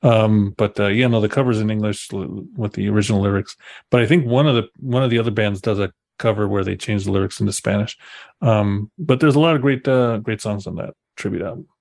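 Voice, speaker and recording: A man's voice: fairly low pitch, fairly quick, vocal fry, a little mellow. A man from the United States, a musician guest on a podcast, talking casually with many fillers.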